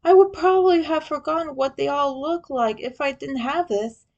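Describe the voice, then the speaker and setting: A woman acts out a young male turtle hero from a fan story, wounded but still teasing. The voice is high and emotional. He talks haltingly, with a sentimental streak. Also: darkish tone, lo-fi sound. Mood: wistful.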